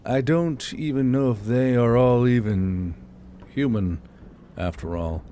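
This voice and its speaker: A man voicing a gruff, cynical soldier in a story, blunt and sardonic, with faint noise behind. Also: mid-range voice, slow pace, mellow, natural voice. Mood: grim, resigned.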